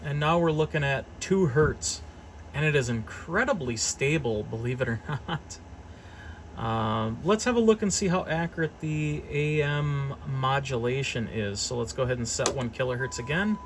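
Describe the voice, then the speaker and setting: A man's voice: medium pitch, moderate pace, slight background noise. A man giving a casual, unscripted equipment demonstration as a hobbyist technician, thinking aloud hesitantly as he goes. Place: service workshop, indoors.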